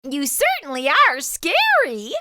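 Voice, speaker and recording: A high, bright mother cat from a storybook, voiced by a woman. She is playful and motherly, gently teasing as she reassures. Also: huge pitch swings, slow, heavily acted. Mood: reassuring.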